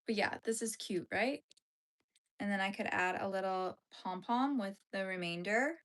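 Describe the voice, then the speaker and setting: A woman's voice: mid-range voice, moderate pace, fairly bright tone. A young woman, chatting playfully with her livestream viewers while she crochets. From Canada. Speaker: livestream host.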